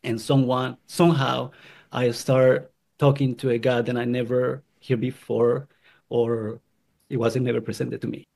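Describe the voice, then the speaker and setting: A man from Guatemala telling his own story in an earnest, halting way, often restarting his sentences. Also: medium pitch, little pitch movement, vocal fry, a little mellow. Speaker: convert sharing his story. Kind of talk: personal testimony.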